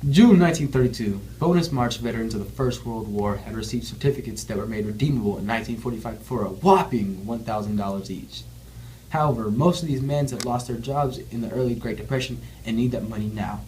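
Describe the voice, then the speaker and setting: A man's voice: mid-range voice, a little fast, a little mellow, slight background noise, low-quality recording. A young man reading scripted news as an anchor and reporter.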